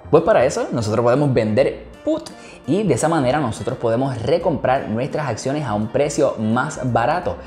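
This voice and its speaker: A man's voice: mid-range voice, animated, normal speed, a little mellow. A man with a Puerto Rican Spanish accent teaching a tutorial on investing, explaining step by step and often checking in with "ok?". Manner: explanatory, repeats "¿ok?" to check understanding. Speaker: investing educator. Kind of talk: screen-recorded tutorial explaining options. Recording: echoey room with faint music.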